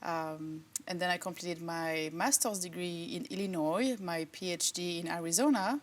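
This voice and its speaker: A middle-aged woman from France, a professor answering interview questions in a relaxed, thoughtful way with many fillers. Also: on the low side, moderate pace. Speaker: agriculture professor and department chair.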